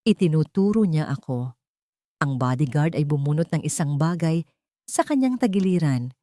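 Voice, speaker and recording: Heard up close in a dry, close-miked recording, a woman with a lowish voice narrates a suspenseful first-person drama. She keeps the telling tense and gripping. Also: dark tone, no room sound. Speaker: storyteller.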